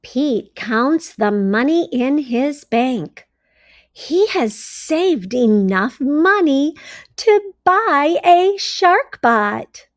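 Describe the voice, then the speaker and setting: Female children's storyteller, high voice, very unhurried read-aloud delivery, close dry sound. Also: animated, no echo. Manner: deliberate, simply phrased delivery for young children. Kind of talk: children's picture-book read-aloud with questions to listeners.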